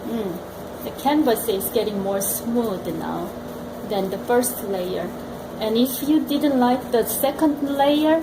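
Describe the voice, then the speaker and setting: A woman with a Korean accent, teaching painting and talking slowly and thoughtfully while she works. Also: fairly high pitch, echoey room, noisy background. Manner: slow and thoughtful, talks while working. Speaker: art teacher. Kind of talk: painting demonstration. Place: art gallery studio room.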